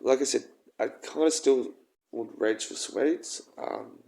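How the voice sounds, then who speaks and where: A young man with a croaky voice, rambling and halting through a casual personal vlog. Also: medium pitch, a little mellow, a little hiss or hum. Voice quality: croaky, gravelly. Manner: rambling, halting, with frequent ums and swearing. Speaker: vlogger.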